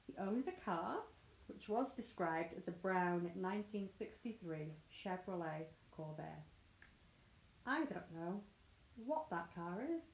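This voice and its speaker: A woman's voice: on the low side, expressive, unhurried, gravelly, a little hiss or hum. British woman, young, chatty host who laughs often, recorded in a roomy space. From Britain. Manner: giggly, chatty, self-mocking. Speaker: podcast host. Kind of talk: casual true crime chat. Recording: echoey room.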